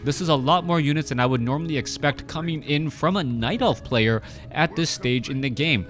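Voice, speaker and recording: A man's voice: fairly high pitch, fairly quick, a bit bright, soft background music. A man in his thirties casting a strategy game match, talking casually with many fillers and restarts. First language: Cantonese. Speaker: game caster. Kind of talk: casual play-by-play commentary.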